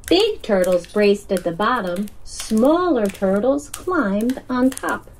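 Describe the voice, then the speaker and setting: Slow, animated woman reading a picture book. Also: medium pitch, a little hiss or hum, low-quality recording. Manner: deliberate, expressive storytelling. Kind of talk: scripted children's story narration.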